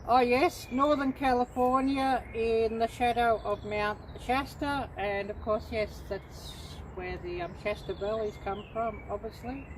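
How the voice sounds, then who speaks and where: A middle-aged woman from Australia hosting a casual livestream, chatting unhurriedly to viewers with many pauses and ums. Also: a little high, steady tone, slight background noise, low-quality recording. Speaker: livestream host.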